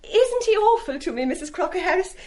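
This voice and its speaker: An actress playing a chatty, gushing young wife in a radio play. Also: female voice, very high voice, sing-song, fairly quick, a little mellow. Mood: teasing, gushing.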